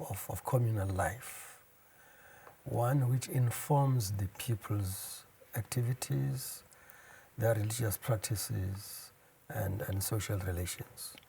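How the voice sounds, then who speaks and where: Male guest from South Africa, low, dark-toned, slow and halting. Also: gravelly, slight background noise. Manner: slow, hesitant, with restarts. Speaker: education consultant, guest. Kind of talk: thoughtful explanation.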